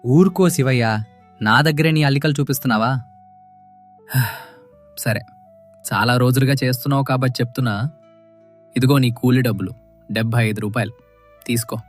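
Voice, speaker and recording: A man's voice: mid-range voice, moderate pace, pauses often, soft background music, natural voice. A man playing a greedy, arrogant rich village landlord who is dismissive, bullying and cunning, in a moral story drama. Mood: condescending. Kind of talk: audio moral story acting.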